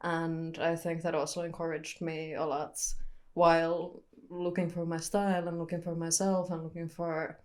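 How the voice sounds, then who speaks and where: A woman's voice: low voice, flat delivery, moderate pace, a little mellow. Thoughtful young woman from Finland. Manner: thoughtful, candid, searching for words. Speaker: photographer guest. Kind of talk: reflective interview answers.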